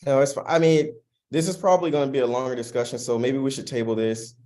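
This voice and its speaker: A man's voice: mid-range voice, fairly even intonation, fast, mellow. A man chairing a student council meeting, casual and restarting his sentences.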